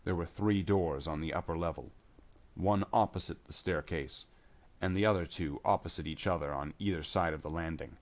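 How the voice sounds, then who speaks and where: A man's voice: low voice, normal speed. A man reading scripted science fiction in an audiobook style, narrating plainly without putting on character voices.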